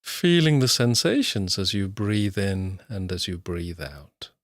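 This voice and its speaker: A man narrating a guided meditation as an instructor, in measured, unhurried short phrases with long pauses. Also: deep voice, lively intonation, no room sound, clean studio sound.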